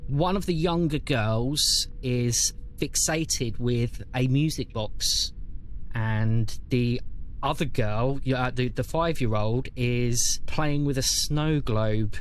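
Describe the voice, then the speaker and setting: Mid-pitched man narrating as game master. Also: slow. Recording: faint background noise.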